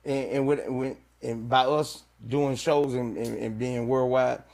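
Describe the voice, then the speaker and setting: A man's voice: mid-range voice, steady tone, unhurried, gravelly, a little mellow. A man from Louisiana, a rapper chatting casually on a podcast and tagging "you feel me" onto nearly every line. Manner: laid-back, repeats "you feel me" constantly. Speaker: rapper guest.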